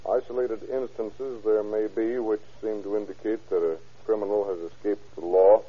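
A man speaking as a sheriff and guest, giving a stiff, earnest, formal scripted radio address. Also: medium pitch. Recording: tinny, phone-like old radio recording.

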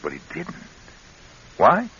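An actor in an American radio drama playing a sly, needling schemer who talks in folksy, ungrammatical teasing. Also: male voice, slow pace, sharp tone. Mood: sly, insistent. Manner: drawling folksy bad grammar, teasing. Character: sly, folksy, needling male schemer angling for stolen money. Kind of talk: classic radio drama acting. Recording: hissy, muffled old radio recording.

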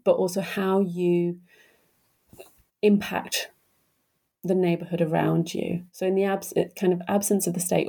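A woman's voice: on the low side, creaky, mellow. A woman in her thirties, an author answering interview questions about her research with thoughtful, hesitant phrasing and frequent fillers.

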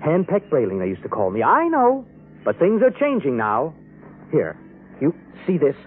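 An actor playing a mild, prim and smugly secretive henpecked man in 1950s radio style, genteel and excitable. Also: a little high, moderate pace. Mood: confiding, excited. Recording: noisy, narrow-band vintage radio recording.

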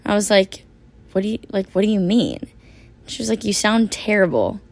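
A young woman in her twenties hosting a casual solo podcast, her voice hoarse and raspy from a long shift, rambling chattily with lots of "like". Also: mid-range voice, big pitch swings, moderate pace, a little mellow. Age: 20s. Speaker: podcast host.

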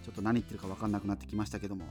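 An actor playing a chatty, self-deprecating radio host who muses casually through listener letters, with music behind him. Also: male voice, on the low side, flat delivery, very fast, darkish tone, natural voice. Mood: musing, conversational. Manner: rambling, colloquial, musing asides.

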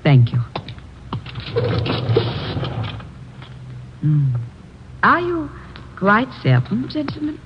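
An actress playing a determined, coolly polite foreign correspondent in an old-time radio drama, formal and insistent. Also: female voice, mid-range voice, wildly swooping pitch, measured pace. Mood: cool, pointed. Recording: dull, noisy old radio recording.

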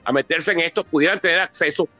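A man's voice: fairly high pitch, fast talker, highs cut off, music in the background. A Venezuelan man in his seventies giving an impromptu public talk on history, emphatic and repeating phrases for stress. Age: 70s. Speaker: author and politician presenting his book. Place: theatre book presentation.

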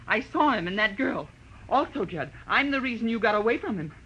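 A woman's voice: fairly high pitch, animated, fairly quick, fairly bright tone, breathy edge, dull audio. A woman playing a desperate, coldly apologetic murderess, speaking in short, intense confessional sentences in 1940s radio melodrama style. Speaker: radio drama actress. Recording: noisy old radio broadcast.

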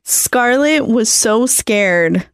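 A woman's voice: on the high side, lively intonation, very slow, smooth. A woman chatting casually as a panelist on a podcast.

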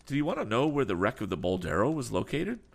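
A man voicing an eager, excited young inventor asking urgent questions. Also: mid-range voice, fast, creaky, pitched above the performer's own voice.

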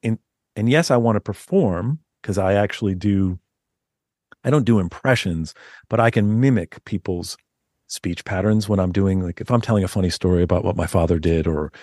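A man with a deep voice, a guest author talking in a loose, rambling way with many restarts. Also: moderate pace, darkish tone, no room sound, clean studio sound. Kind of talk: casual interview chat.

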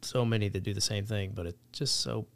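A man's voice: fairly low pitch, fairly even intonation, a little fast. A man talking as a guest on a casual podcast, blunt and swearing freely about accountability.

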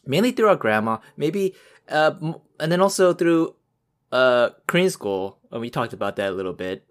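A man's voice: on the high side, very animated, normal speed. Chatty young man, rambling. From United States. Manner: rambling, lots of fillers and restarts. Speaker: podcast co-host. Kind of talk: casual chat.

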